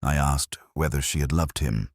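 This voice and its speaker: Very deep, husky male narrator. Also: dry recording, studio quality. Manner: measured, literary, solemn. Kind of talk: first-person scripted detective story narration.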